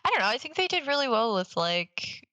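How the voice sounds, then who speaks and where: Young female co-host, casual chat. Crisp forward tone, very dry close sound, enthusiastic and informal. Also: fairly high pitch, big pitch swings, fairly quick, pushed and bright, dry recording. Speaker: podcast co-host. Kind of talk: casual chat about games and films.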